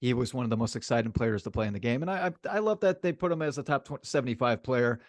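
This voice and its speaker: A man's voice: medium pitch, animated, fast talker, no echo. Emphatic man talking sports, close dry sound. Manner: emphatic, repeats phrases for emphasis. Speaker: sports podcast co-host. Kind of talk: casual sports chat, opinionated commentary.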